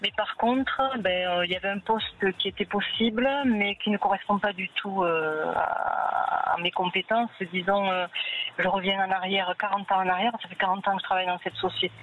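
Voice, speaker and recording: Woman caller, about sixty, light Toulouse accent, earnest and halting, on a dull phone line. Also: mid-range voice, fairly even intonation, slight background noise. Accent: light Toulouse, southern French. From Toulouse region, France. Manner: earnest, hesitant, explaining a grievance.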